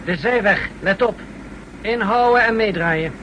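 A man's voice: high voice, measured pace, bright, forceful tone. A man playing a commanding secret-service henchman who gives clipped orders in a 1960s radio drama. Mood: curt, instructing. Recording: faintly noisy 1960s radio recording.

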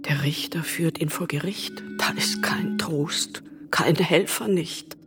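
An actress playing a devout, sorrowful believer, reciting verse solemnly in a German radio play. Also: female voice, low voice, takes it slowly, in a whisper, over loud music. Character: devout, sorrowful female believer witnessing the Passion.

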